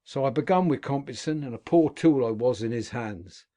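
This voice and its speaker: A man playing a gruff old convict. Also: mid-range voice, lively intonation, normal speed, dark tone, close to an everyday voice. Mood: grim recounting. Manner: earthy dialect speech, gruff storytelling. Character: rough old male convict telling his past. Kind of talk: audiobook character reading.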